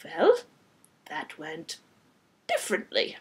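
A woman's voice: mid-range voice, wildly swooping pitch, slow pace, breathy edge, full character voice. A woman voicing a gallant, formal male vampire count with a put-on French accent, in a dramatic storybook reading. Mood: awkward, formal.

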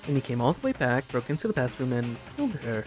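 A man's voice: mid-range voice, sing-song, lightly acted. Guy playing a posh cool girl in a game trial, formal n accusing, music behind. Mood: coolly accusing. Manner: formal, probing, deliberate.

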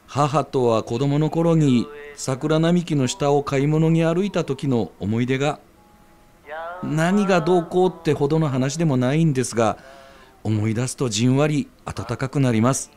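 A man's voice: medium pitch, moderate pace, a little mellow, close to an everyday voice. A man playing an appreciative regular at a ramen stall in a radio skit, speaking politely and earnestly. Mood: moved, reflective.

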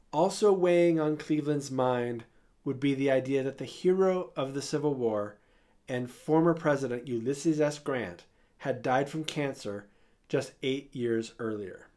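A man narrating a scripted history story in a measured way, with an occasional dry aside. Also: medium pitch, darkish tone.

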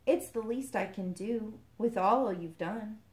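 A woman voicing an excitable, motherly duck who dotes effusively, in a storybook reading. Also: mid-range voice, a little slow, roomy sound, lightly acted. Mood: grateful.

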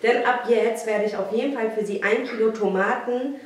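A woman in her twenties chatting casually in an animated, giggly way for a prank video. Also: a little high, normal speed. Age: 20s. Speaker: vlog host. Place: living room, indoors. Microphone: camera a few feet away. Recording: echoey room, distant microphone.

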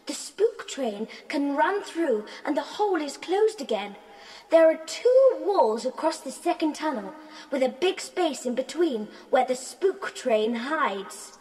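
A plucky child in an adventure audio play. The voice is extremely high, urgent and lively in pitch. It rings with a long reverb. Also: female voice, unhurried. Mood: brisk, plucky. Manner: urgent, exclamatory. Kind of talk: children's audio drama acting.

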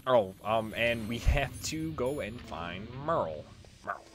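A man's voice: mid-range voice, expressive, a little slow, soft background music. A man giving casual, informal let's play commentary as the host of a gaming video.

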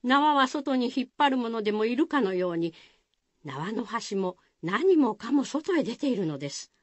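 A woman storyteller giving an expressive, dramatic scripted narration of a folk tale for radio. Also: a little high, normal speed, no echo.

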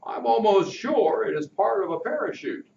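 A man's voice: high-pitched, animated, normal speed, gravelly, a little mellow, echoey room, far from the mic, highs cut off, slight background noise, voice pushed up. An older man reading a storybook aloud, voicing a kindly camp leader captain in a raised, thoughtful, fatherly voice.

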